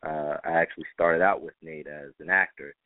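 A man's voice: extremely low pitch, steady tone, brisk, dry recording. A man speaking as a stage director in an interview, explaining things in an earnest, reflective way. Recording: dry, phone-like band-limited line.

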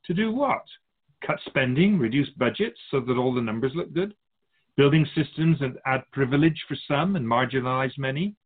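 A man's voice: medium pitch, expressive, normal speed, phone-quality sound, natural voice. A man playing an otherworldly keeper of souls in a radio drama, a wise, teasing mentor who muses theatrically in rhetorical questions. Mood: questioning. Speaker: spirit guide in a radio drama.

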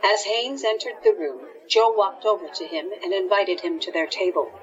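A woman's voice: mid-range voice, steady tone, normal speed, lo-fi sound. A woman reading scripted storytelling narration for an audio drama.

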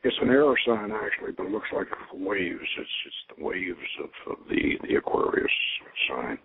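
A man leading a ritual as host, moving between informal instruction and solemn invocation in a halting, deliberate manner. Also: deep voice, big pitch swings, vocal fry, husky. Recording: narrow tinny phone-like radio sound.

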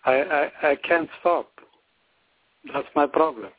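Slow Bulgarian man on a tinny line. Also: a little high, no echo. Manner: slow, halting, with many pauses and fillers. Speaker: guest artist. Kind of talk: interview answers.

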